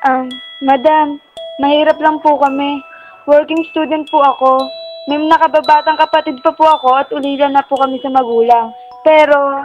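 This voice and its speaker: A woman's voice: sits high, little pitch movement, normal speed, dull audio, faint music behind, natural voice. A woman playing a poor, hesitant young working student in a radio drama, polite and self-effacing, with a Filipino accent. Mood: nervous, respectful.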